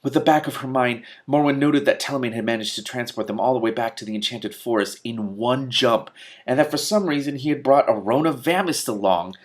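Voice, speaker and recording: A man with a mid-pitched voice reads fiction aloud in an expressive, reactive way. He trips over the odd word and drops in blunt, sweary commentary as he hosts. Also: fairly quick. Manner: reactive, often stumbles over words and swears. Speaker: host reading a novel aloud with commentary. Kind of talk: expressive audiobook-style reading with excited asides.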